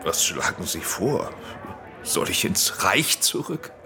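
An actor playing a celebrated, wary film director in a German radio drama, hesitant and given to sighs and sudden outbursts. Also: male voice, deep voice, very animated, slow pace, pushed and bright, heavily acted. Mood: wary, indignant. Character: celebrated male film director, wary, easily outraged, vulnerable.

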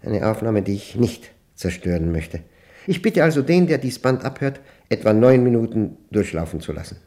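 Lonely, orderly male professor, standard German, low mellow voice, pedantic and reflective radio monologue. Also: big pitch swings. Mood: uneasy, precise. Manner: pedantic, reflective, halting, self-questioning.

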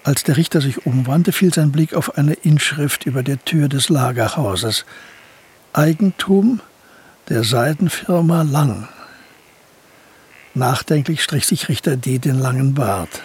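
An actor as the narrator of a historical crime radio drama, reading scripted literary third-person prose. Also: male voice, mid-range voice, measured pace, slightly rough. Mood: narrating.

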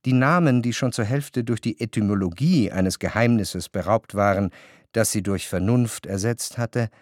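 A man narrating a literary radio drama in the first person, in a measured, reflective manner. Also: on the low side, dry recording, clean studio sound.

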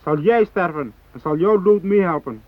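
An actor in a radio drama playing a fanatical, unyielding hijacker who speaks in cold, threatening declarations. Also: male voice, high voice, animated, normal speed, no room sound. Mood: stern, commanding. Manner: declarative, threatening, ideological speechmaking. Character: fanatical South Moluccan hijacker, unyielding, cold, righteous militant. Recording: thin phone-like radio drama recording.

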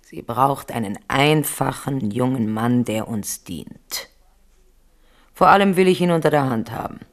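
A woman's voice: very deep voice, lively intonation, unhurried, exaggerated voice. An actress playing a cold, cunning older queen mother in a 1970s radio drama, talking with cool scorn. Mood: commanding. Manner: coolly commanding, scornful.

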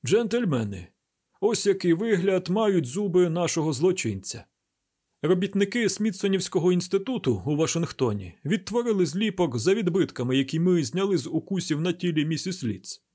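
A man's voice: sits high, big pitch swings, moderate pace, warm tone, husky, pitched above the performer's own voice, full character voice. A man voicing a testy, exacting chief medical examiner in an audiobook, formal and precise in manner. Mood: lecturing.

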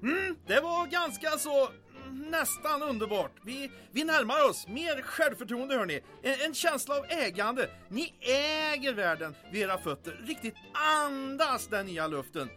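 A man's voice: very high-pitched, animated, few pauses, pushed and bright, soft background music, heavily acted. An actor playing an affected young male art photographer, hesitant and dreamily directing, in an old-time radio comedy drama. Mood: gushing.

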